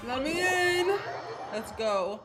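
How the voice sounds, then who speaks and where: Playful, slightly high-voiced woman game streamer. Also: animated, slow pace. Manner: playful, slangy, interjecting. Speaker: gaming streamer. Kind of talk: casual gameplay reaction commentary. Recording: streamer voice over game music.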